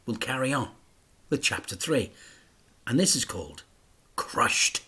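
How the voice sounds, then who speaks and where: Bright, expressive storytelling man. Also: mid-range voice, measured pace, husky. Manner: acts out crash sounds with loud bursts. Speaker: teacher reading stories to children. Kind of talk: dramatic storybook read-aloud.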